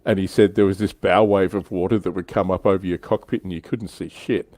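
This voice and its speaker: A man's voice: deep voice, steady tone, fairly quick, a little mellow, no room sound. A man hosting a casual hobby livestream, telling stories in an explanatory way with some swearing. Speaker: livestream host.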